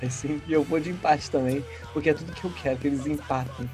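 A man's voice: a little high, fairly even intonation, fast, music in the background. A man from Rio de Janeiro with a Carioca accent, a guest on a podcast chatting casually and enthusiastically about football. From Rio de Janeiro, Brazil.

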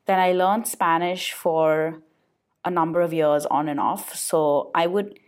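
A woman's voice: sits low, fairly even intonation, unhurried. A woman from India chatting casually, hedging as she thinks aloud. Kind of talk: casual chat.

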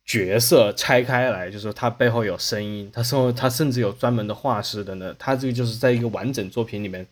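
A man speaks at a quick pace in a casual podcast discussion. His pitch sits in the middle range, and he explains things wordily, rephrasing as he goes. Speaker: podcast host.

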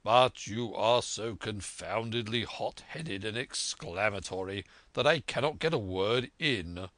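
A man as a composed, slow-spoken gentleman doctor. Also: on the low side, no room sound. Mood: measured, probing. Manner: deliberate, composed. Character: calm, measured gentleman doctor, dryly authoritative. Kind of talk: audiobook character voice.

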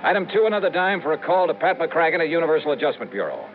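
An actor playing a brisk, wry insurance investigator in a punchy 1950s radio drama style. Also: male voice, fairly high pitch, faint music behind. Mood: brisk narrating. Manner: punchy, animated. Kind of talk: 1950s radio drama acting with first-person narration. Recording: thin, phone-like 1950s radio recording.

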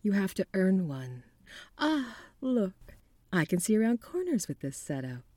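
A woman's voice: mid-range voice, sing-song, unhurried, dark tone, no echo. A woman acting the part of a cool, confident, secretive occult detective, composed and matter-of-fact, in a 1940s noir radio drama.

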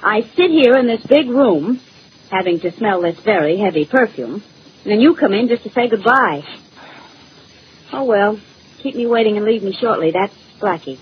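A woman's voice: medium pitch, animated, normal speed, lightly acted. An actress playing a detective's sardonic, long-suffering girlfriend in a 1940s radio drama, dry and teasing. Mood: sarcastic, put out. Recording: muffled, noisy old radio recording.

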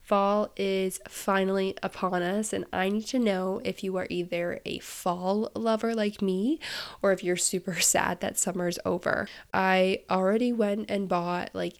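A young woman hosting a casual podcast, chatty and upbeat with frequent laughs, sounding stuffed up and nasal from a head cold. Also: mid-range voice. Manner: chatty, upbeat, self-deprecating, laughs often. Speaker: podcast host.

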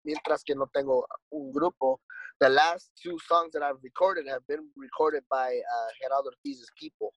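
A man's voice: fairly high pitch, moderate pace, husky, no room sound, poor audio. A man and musician guest in a casual conversation, talking in a laid-back, rambling way with lots of swearing and "dude". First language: English.

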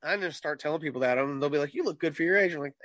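A man's voice: on the high side, racing, fairly bright tone, no echo, dull audio. A man in his thirties, a podcast co-host chatting casually, loose and joking, swearing freely. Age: 30s. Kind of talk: casual chat.

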